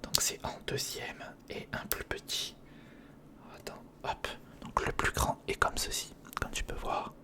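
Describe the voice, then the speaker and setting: A man whispers in a breathy, unvoiced ASMR voice, close to a sensitive mic. He talks informally and reassuringly, guiding the listener step by step through a hands-on build. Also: a little slow, bright, forceful tone. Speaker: ASMR video creator. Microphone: close sensitive recorder microphone.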